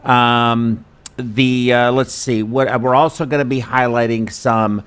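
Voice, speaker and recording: A man hosting an informal instructional webinar, talking in a chatty, self-deprecating, halting way. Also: mid-range voice, fairly even intonation, fairly bright tone, clean tone. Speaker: host and perfusionist instructor. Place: studio.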